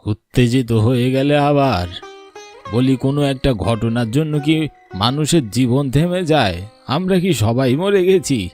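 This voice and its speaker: A man's voice: medium pitch, moderate pace, a little mellow, faint music behind, lightly acted. Man acting a coaxing old village husband. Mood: reasoning. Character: patient, gently complaining old village husband. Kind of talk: cartoon voice acting.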